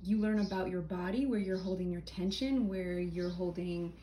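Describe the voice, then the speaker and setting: A woman actor with a slight Boston accent under neutral American speech, chatting casually as a podcast co-host. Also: moderate pace. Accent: slight Boston, mostly neutral American. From Boston area. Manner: chatty, animated, drops into high put-on voices for quotes. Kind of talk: casual chat. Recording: roomy echo, faint background noise.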